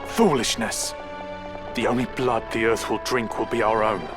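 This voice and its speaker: An actor playing a defiant rebel commander in a fantasy game, dramatic and archaic, with music behind. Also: male voice, on the low side, fairly even intonation, moderate pace, close to an everyday voice. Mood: defiant, scornful. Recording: game clip under loud music.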